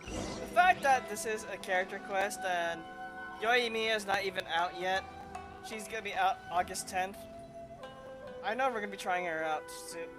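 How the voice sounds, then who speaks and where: A woman's voice: mid-range voice, moderate pace, over music. A woman game streamer talking casually and reading dialogue aloud during a playthrough.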